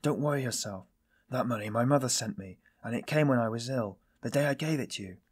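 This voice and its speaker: A man playing a weary, self-loathing man in audiobook dialogue, confessing in a brooding, bitter, halting manner. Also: medium pitch, slightly rough, lightly acted. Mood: hurried, defensive.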